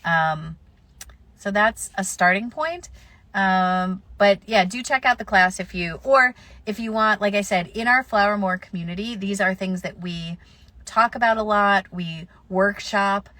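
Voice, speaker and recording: A woman's voice: medium pitch, measured pace, bright, forceful tone, no room sound. A woman florist with a slight cold answering viewer questions in a live stream, offering practical advice in a conversational way with plenty of fillers.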